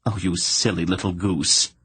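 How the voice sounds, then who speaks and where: Calm, gently chiding motherly lady, read by a male narrator, low and husky. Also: unhurried, dry recording, heavily acted. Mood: gentle.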